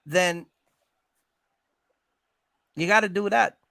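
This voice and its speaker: A man hosting an animated advisory conversation about business, speaking emphatically, repeating himself and often checking in with questions. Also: high voice, very slow, gravelly, smooth, no room sound, studio quality. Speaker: host giving business advice to truck owners.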